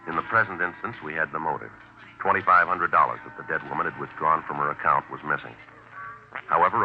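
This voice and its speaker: An American actor playing a stoic, businesslike police sergeant who narrates his case in clipped, deadpan 1950s radio drama style. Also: very deep voice. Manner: deadpan, matter-of-fact. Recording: muffled, noisy 1950s radio recording.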